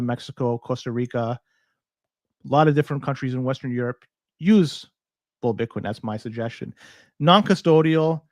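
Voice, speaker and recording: A man's voice: medium pitch, no room sound, studio quality. A man hosting a podcast, chatting casually and reading sponsor spots in an upbeat, salesy way. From Canada. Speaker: podcast host. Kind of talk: casual podcast talk and live sponsor reads.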